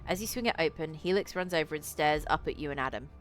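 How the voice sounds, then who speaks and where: A woman streamer with a low voice reading a visual novel aloud, dropping in casual, sweary asides. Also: fast talker, faint music behind. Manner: sweary, excitable reactions in asides.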